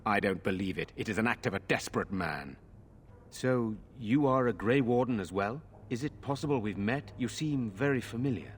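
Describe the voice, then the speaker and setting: An actor playing a worried, earnest nobleman in a fantasy video game, speaking formally and gravely. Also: fairly low pitch, expressive, moderate pace, a little hiss or hum, natural voice. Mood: scornful, then courteous. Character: worried, earnest nobleman defending a besieged village.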